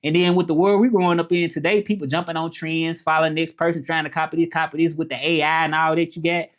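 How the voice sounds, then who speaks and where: A man's voice: a little high, little pitch movement, fast. Casual man with a Memphis Southern accent. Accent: Memphis Southern American. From Memphis, southern United States. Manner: informal, rambling, repeats himself. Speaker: livestream host. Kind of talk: casual livestream chat about learning languages, reading comments.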